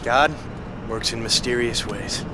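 An actor playing an earnest, devout addiction counselor, sincere and supportive, in a video game cutscene. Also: male voice, mid-range voice, measured pace, natural voice. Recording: clear outdoor street and vehicle noise.

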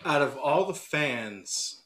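A man's voice: a little high, animated, slow, fairly bright tone. A man moderating a casual debate as co-host, asking questions in a conversational way. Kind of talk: casual chat. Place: wood-panelled room, shared table. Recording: noisy room microphone.

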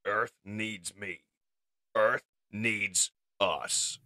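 A man voicing a heroic, wrathful robot commander in slow, dramatic declarations for a comic dub. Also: on the high side, animated, pushed and bright, breathy edge, no room sound, studio quality, pitched above the performer's own voice, full character voice. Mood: solemn.

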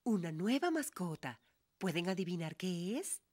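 A woman's voice: on the low side, sing-song, moderate pace, a little mellow. A woman playing a sweet, cheerful old grandmother pig in a children's cartoon.

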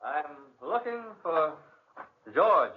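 An actor playing a drugged, dazed man lost in madness, speaking in a vacant, halting, repetitive way in a 1940s radio drama. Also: on the high side, sing-song, slight background noise, full character voice. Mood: dazed, eerie. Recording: tinny, thin 1940s radio recording.

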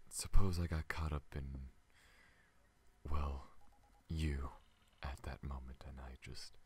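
A man voicing a gentle, shy, apologetic alien in an intimate ASMR roleplay, soft-spoken and hesitant, often slipping into a whisper. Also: rumbling low, breathy edge, exaggerated voice. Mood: shy, affectionate.

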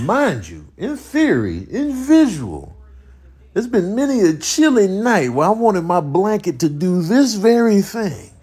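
A man with a husky voice giving slangy, exclamatory comedic commentary over clips in mock outrage. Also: a little high, darkish tone.

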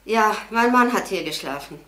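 An actress playing an older, estranged wife in a crime drama, polite, halting, wistful and resigned. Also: female voice, medium pitch, lively intonation, a bit bright, close to an everyday voice. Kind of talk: German crime drama acting.

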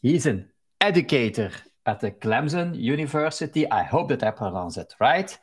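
A man's voice: medium pitch, animated, normal speed, breathy edge. A man in his thirties with a Belgian accent, hosting an interview over a video call in friendly, slightly non-native phrasing. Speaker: host. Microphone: headphones with mic on a video call.

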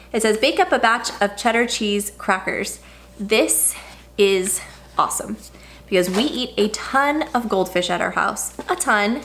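A woman's voice: medium pitch, fairly bright tone, a little hiss or hum. A woman in her thirties chatting through an unboxing in her home kitchen, upbeat and gushing over each item. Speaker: vlogger. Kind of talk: casual unboxing chat.

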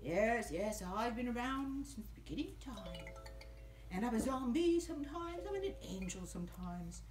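Lofty, timeless angel puppet, played by a man, high voice in an echoey room, kids' show. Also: lively intonation, moderate pace, over music. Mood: serene. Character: ancient angel puppet, transformed zombie, serene and lofty.

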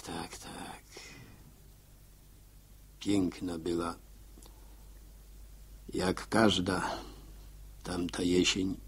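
An actor playing a wistful middle-aged village father in a 1960s radio drama, musing haltingly about his memories. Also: deep voice, fairly bright tone, husky, full character voice. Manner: halting, reflective, musing to himself.

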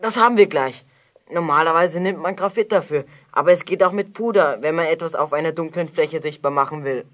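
Woman playing smart kid gang leader in an audio play, bit low voiced, sure of themselves. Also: brisk, close to an everyday voice. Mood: confident deduction. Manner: confident, explanatory. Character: clever, self-assured young amateur detective, gang leader. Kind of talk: children's audio drama acting.

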